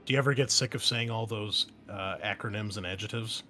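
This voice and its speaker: A man's voice: on the low side, a little fast, bright, forceful tone, slight background noise. A man hosting a tabletop game as game master, chatting casually and teasing the players. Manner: jokey, teasing.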